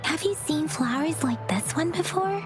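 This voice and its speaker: A woman playing a curious, composed and courteous young adventurer in a game, speaking in a measured way. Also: high voice, expressive. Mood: curious, polite. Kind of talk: scripted game dialogue. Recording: music behind the voice.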